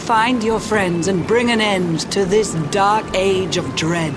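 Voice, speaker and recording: A woman's voice: medium pitch, slow pace, background noise, close to an everyday voice. An actress playing a solemn female spirit who speaks in rhyming, incantation-like verse in a game. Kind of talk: game voice acting. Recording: loud music underneath.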